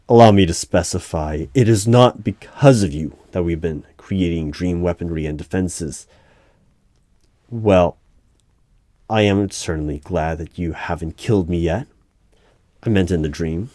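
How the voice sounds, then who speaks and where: A man performs an uneasy hiring manager from a secret bureau in an audio roleplay. His voice is low and he talks very slowly, with halting pauses and a disbelieving, guarded manner. Keyboard typing sounds behind him. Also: warm tone, no echo. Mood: guarded, formal. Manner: halting, pausing, incredulous. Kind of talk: one-sided ASMR audio roleplay interview. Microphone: close microphone with keyboard typing behind.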